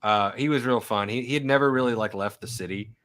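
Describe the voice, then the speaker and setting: A man's voice: lowish voice, fast. A man from Oklahoma with a slight Southern accent, telling stories in a casual conversation. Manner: storytelling, animated in quoted lines. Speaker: historian researcher.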